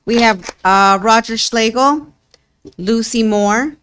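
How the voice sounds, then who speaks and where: A woman's voice: on the high side, slow, fairly bright tone, slight background noise. A woman informally announcing names at a meeting, hesitant as she works through a list. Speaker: reader of nominee names.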